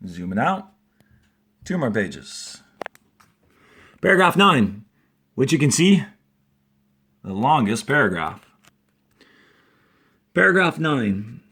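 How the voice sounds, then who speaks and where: Expressive male teacher, slow and deliberate. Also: mid-range voice, breathy edge. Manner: deliberate, instructive, dramatic phrasing with pauses. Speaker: fourth-grade teacher reading aloud to the class. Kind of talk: expressive classroom read-aloud and instruction.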